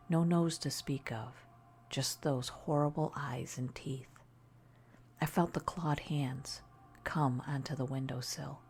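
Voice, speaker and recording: A woman narrating a scary story in a soft, breathy ASMR style, often near a whisper, hushed and deliberate. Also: rumbling low, a little hiss or hum.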